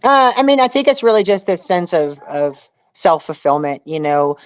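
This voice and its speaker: A woman's voice: fairly low pitch, big pitch swings, normal speed, no echo. A woman speaking earnestly as an interview guest, telling her story casually and often saying "you know". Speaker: interview guest, reality survival show contestant. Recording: dull lo-fi audio.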